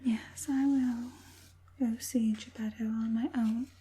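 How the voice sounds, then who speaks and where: Woman acting a slow, whispering evil witch puppet master. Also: on the high side, fairly even intonation, full character voice. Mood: absorbed, hushed. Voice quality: breathy, hushed whisper. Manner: slow, deliberate, soothingly menacing. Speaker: ASMR roleplay performer. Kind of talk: whispered ASMR roleplay.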